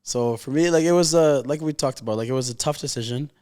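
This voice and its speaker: Young man, very quick pace, smooth clear tone, informal heartfelt interview chat. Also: medium pitch, darkish tone. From raised in Calgary, Canada. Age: 20s. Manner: informal, slangy, heartfelt, heavy use of "like". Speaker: professional footballer, interview guest.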